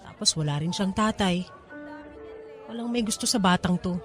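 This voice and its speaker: A woman's voice: mid-range voice, normal speed, soft background music, a faint crowd, lightly acted. A woman playing a troubled, defiant, pregnant young woman with an emotional, pleading manner in a Tagalog radio drama, with a Filipino accent. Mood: bitter, pleading. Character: troubled pregnant nineteen-year-old woman, defiant and wounded.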